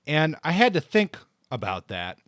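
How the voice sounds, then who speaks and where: A man's voice: on the high side, sing-song, normal speed, fairly bright tone, no echo, studio quality. A man co-hosting a book discussion podcast, talking casually and thinking aloud as he goes. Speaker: podcast co-host discussing books.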